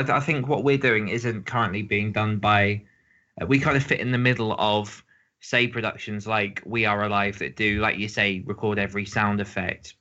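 Man, podcast co-host, fairly low pitch, bright forceful tone, lo-fi muffled recording, casual, rambling. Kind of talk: casual discussion. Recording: dull, band-limited sound.

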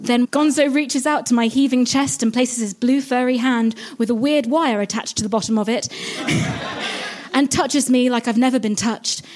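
High-voiced woman telling a comic story. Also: darkish tone. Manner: dramatic, self-mocking. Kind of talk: scripted comic first-person story read on stage.

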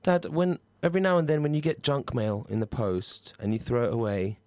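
A man's voice: medium pitch, animated, brisk, a little mellow, no echo, lightly acted. A man playing an earnest, sheltered nine-year-old son in improvised comedy, reasoning hesitantly and stumbling over his words. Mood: hesitant, pleading. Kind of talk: improvised comedy role-play.

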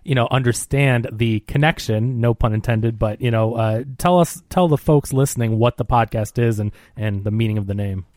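A man's voice: medium pitch, normal speed, few pauses, a little mellow, dry recording, clean studio sound. A man co-hosting a podcast in casual, teasing chat. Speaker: podcast co-host.

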